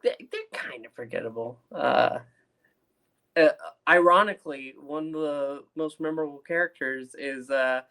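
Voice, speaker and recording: A man's voice: a little high, normal speed, pushed and bright. A man co-hosting a podcast review, chatting informally and with enthusiasm. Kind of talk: casual review chat.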